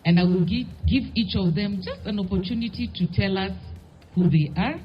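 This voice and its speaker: A woman's voice: medium pitch, normal speed, soft background music. A woman moderating a panel discussion, asking short questions with fillers. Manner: brief, prompting questions with fillers. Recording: dull lo-fi event audio.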